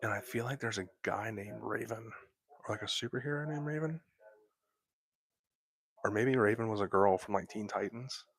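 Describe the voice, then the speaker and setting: Young male host, hushed whisper close on mic, breathy with gravel, relaxed talker. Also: fairly low pitch, moderate pace, pauses often. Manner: soft whispering, laid-back and chatty. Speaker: livestream host. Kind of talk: whispered late-night livestream chat. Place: at home, lying in bed. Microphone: close microphone.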